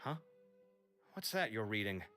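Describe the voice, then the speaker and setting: A man playing a fretful, expressive young scholar in a fantasy video game, with theatrical exasperation. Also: lowish voice, a little slow, soft background music, exaggerated voice. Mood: doubtful.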